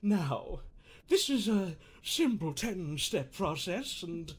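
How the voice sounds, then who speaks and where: A man playing a quirky, needling therapist in an improvised comedic game dub, speaking theatrically with halting pauses and self-amused chuckles. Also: high-pitched, very animated, slightly rough, exaggerated voice. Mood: showy, jokey.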